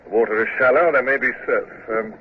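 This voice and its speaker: An actor playing a calm, commanding British naval commodore in a vintage radio drama, giving measured, dryly ironic orders and narrating. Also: male voice, mid-range voice, lightly acted. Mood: composed command. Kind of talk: 1950s radio drama acting. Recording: muffled noisy old radio recording.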